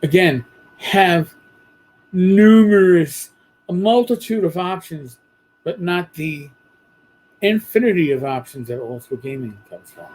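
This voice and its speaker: A man hosting a podcast, talking bluntly and casually with a hoarse voice after losing it. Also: high-pitched, animated, unhurried, long pauses, darkish tone, rough recording. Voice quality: husky. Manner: blunt, profane, rambling. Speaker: podcast host. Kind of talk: casual monologue.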